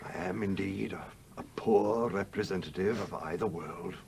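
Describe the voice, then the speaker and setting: An actor playing the gruff, blunt ghost of a sea captain in a radio play, with a British accent and emphatic, old-fashioned speech over background noise. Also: male voice, sits low, normal speed. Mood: remorseful. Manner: blustery, emphatic, old-fashioned turns of phrase.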